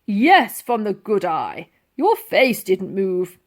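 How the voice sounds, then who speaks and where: A woman's voice: mid-range voice, big pitch swings, slow pace. A woman voicing a suspicious, pompous old male sergeant major for a children's storybook, with huffy, barking, emphatic delivery.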